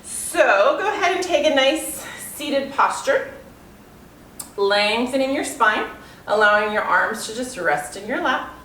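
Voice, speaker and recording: A woman teaches yoga with calm, drawn-out guidance, her voice slightly nasal from congestion. She is picked up from a distance in an echoey room with a faint ambient hiss underneath. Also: fairly high pitch. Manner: calm, unhurried instruction with soft trailing phrases. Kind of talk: guided yoga class. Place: room with yoga mat, indoors. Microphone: far camera.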